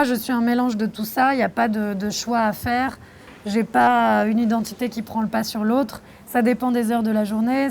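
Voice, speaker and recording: A young woman from France answering interview questions about her documentary, reflective and thoughtful, pausing often. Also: fairly high pitch, a little mellow, slight background noise. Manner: reflective, thoughtful, with frequent hesitations. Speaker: documentary filmmaker being interviewed.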